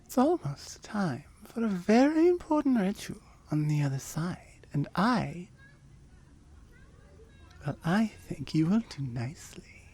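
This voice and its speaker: A woman acts out a seductive immortal oni demoness for an intimate ASMR audio drama. Her voice is mellow and breathy, often sinking into whispers. She takes her time, swooping through her lines with smug, coaxing playfulness. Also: on the low side, very animated, full character voice. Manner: slow, coaxing, teasing.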